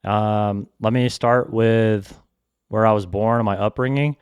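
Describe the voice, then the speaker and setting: A young man in his twenties from Georgia speaks with a smooth, resonant voice on the lower side. He tells his personal story earnestly and reflectively, pausing on ums as he goes. The sound is close and dry, with faint music underneath. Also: fairly even intonation, dry recording. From Georgia, United States. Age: 20s. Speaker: podcast host. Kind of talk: solo podcast, personal testimony.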